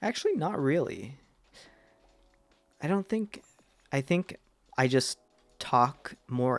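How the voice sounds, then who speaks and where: Slow, gravelly young man streaming. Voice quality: deep voice. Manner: rambling, self-deprecating, trails off with fillers. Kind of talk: casual gameplay commentary to chat.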